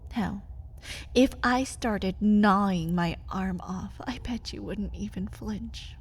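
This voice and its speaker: A woman playing a desperate, bitter vampire captive pleading with a lover in a dramatic ASMR roleplay. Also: a little high. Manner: dramatic, swinging between breathy whispers and pleading outbursts.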